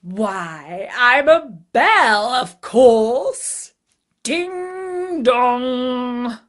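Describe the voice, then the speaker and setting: A woman voicing a scornful, boastful male dragon with a slow, gloating, drawn-out delivery in a fairytale. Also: on the high side, animated, pitched above the performer's own voice. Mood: showing off. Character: scornful, boastful male dragon who loves cunning riddles.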